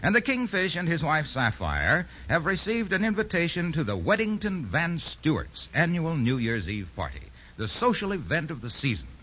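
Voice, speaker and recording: A man's voice: on the high side, sing-song, moderate pace, few pauses, bright, forceful tone, breathy edge. A man announcing and narrating a 1950s radio comedy show in a scripted style. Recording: muffled old radio recording with music. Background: music.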